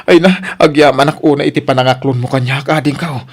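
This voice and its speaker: A man's voice: medium pitch, quick pace, natural voice. A man with an Ilocano Filipino accent playing an eager, lovestruck young suitor in a radio comedy drama. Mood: overjoyed. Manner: excitable, exclaiming, pleading.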